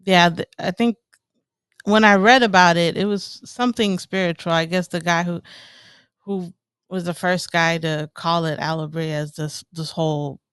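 A woman's voice: fairly low pitch, fairly even intonation, moderate pace, a bit bright, smooth, dry recording. A woman who grew up in Ethiopia chatting casually as a guest, rambling through fillers and laughing now and then. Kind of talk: casual chat.

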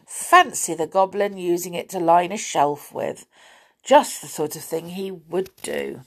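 A woman's voice: lowish voice, unhurried, fairly bright tone. A woman voicing a bold, bossy little pixie in a children's storybook, speaking eagerly and with lots of exclamations. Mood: urgent.

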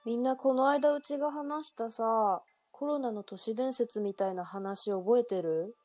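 Woman acting a bright-voiced girl telling a spooky legend, chatty, dry mic. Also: on the high side, a little slow, dry recording, faint music behind. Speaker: radio drama performer. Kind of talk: amateur radio drama acting.

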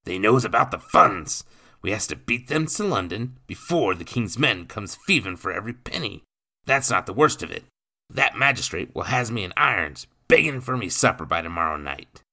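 A man voicing a menacing criminal stepfather who talks in gruff, ungrammatical street slang. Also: fairly low pitch, big pitch swings, moderate pace, pushed and bright, voice pushed up, heavily acted. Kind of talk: audiobook character voice.

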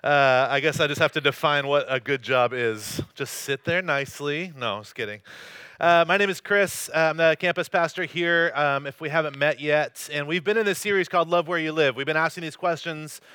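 A man from North Carolina in his thirties, preaching a casual sermon as a campus pastor. He talks in a light, joking way and often pokes fun at himself. His voice is smooth and clear, a touch high and sharply bright, and it is recorded dry. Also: brisk, dry recording. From North Carolina, USA. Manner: joking, self-deprecating, loose storytelling. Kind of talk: conversational sermon with personal stories. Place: church service.

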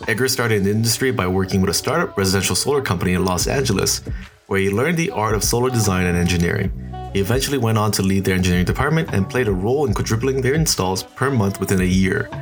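Male podcast host, low voice, polished scripted intro, music underneath. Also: fast. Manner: reads a polished introduction, then brief reactions. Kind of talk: scripted intro and casual interviewing.

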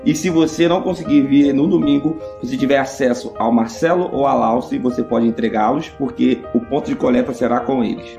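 A man's voice: medium pitch, gravelly, dark tone. A man giving a church announcement in an earnest, rallying way.

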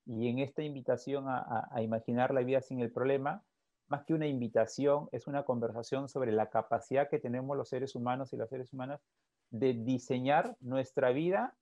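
Brief: male psychologist, mid-pitched, mellow and reflective, slightly dull recording. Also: no echo. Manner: calm and reflective, often ends with a questioning tag. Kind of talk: explanatory podcast talk. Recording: dull, lo-fi, dry sound.

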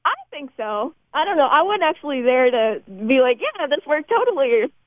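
A woman's voice: high-pitched, very animated, moderate pace, dry recording. A teenage young woman, a student actor, chatting casually and a bit hesitantly in an interview over a tinny line. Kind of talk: casual chat.

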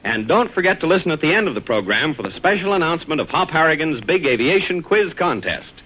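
A man's voice: fairly high pitch, big pitch swings, fast talker, sharp tone. An American man announcing and narrating in a scripted, formal and emphatic 1940s radio style. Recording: noisy old 1940s radio recording.

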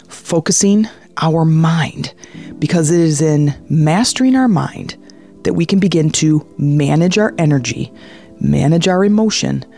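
Slow, creaky-voiced meditation guide over soft music. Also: mid-range voice. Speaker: meditation guide, podcast host.

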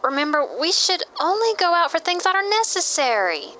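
A woman voices a cautious, sensible child in a children's story. The voice is extremely high and bright, with animated intonation. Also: fairly quick, heavily acted. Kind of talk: children's audio story acting.

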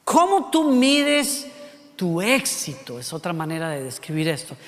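A Costa Rican man with a high voice preaches a sermon. His delivery is animated, full of rhetorical questions and little acted-out voices. A long hall reverb trails after each phrase. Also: measured pace. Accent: Costa Rican Spanish. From Costa Rica.

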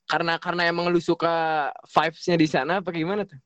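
A man's voice: fairly high pitch, level tone, fast, fairly bright tone, no room sound. A young man hosting a casual podcast chat, teasing his guests, laughing often and swearing playfully. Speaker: podcast host.

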